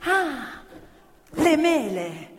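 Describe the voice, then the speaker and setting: A woman's voice: high-pitched, big pitch swings, extremely slow, exaggerated voice. An actress playing a poor, cheeky young working-class girl on stage, blunt and teasing. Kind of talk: stage theatre acting. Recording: live stage recording in a reverberant theatre with violin.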